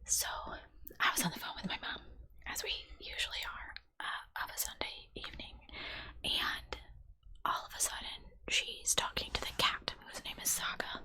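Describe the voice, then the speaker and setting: A woman who works in healthcare, chatting in a soft, rambling whisper full of fillers. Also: normal speed. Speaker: healthcare worker. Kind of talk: whispered ASMR chat.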